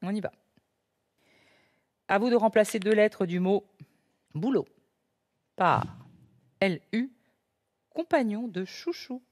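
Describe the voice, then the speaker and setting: A woman's voice: mid-range voice, lively intonation, slow, pauses often, darkish tone. A woman in her sixties hosting a word game show, reading clues with emphasis and laughing often. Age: 60s.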